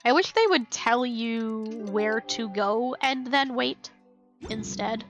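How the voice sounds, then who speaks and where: A woman's voice: fairly high pitch, slow, highs cut off. A woman giving casual live commentary while streaming a game, with playful, wry asides. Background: faint game music.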